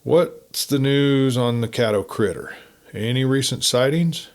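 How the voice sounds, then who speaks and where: A man's voice: mid-range voice, measured pace, natural voice. A man voicing a curious, joking angler with a sly, folksy, innocent-acting manner in a story.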